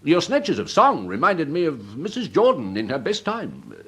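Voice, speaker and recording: A suave old gentleman officer, with an upper-class English accent. His speech is formal and flattering, and his pitch rises and falls extravagantly, in period radio drama style. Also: normal speed, slightly rough, exaggerated voice. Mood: smooth flattery. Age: older. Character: suave, courteous elderly English gentleman army major.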